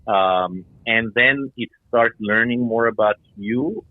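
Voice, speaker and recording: A man's voice: mid-range voice, slow pace, no room sound. A middle-aged man from Iran and Denmark giving explanatory answers as an interview guest. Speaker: guest, startup founder. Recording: tinny phone-like line.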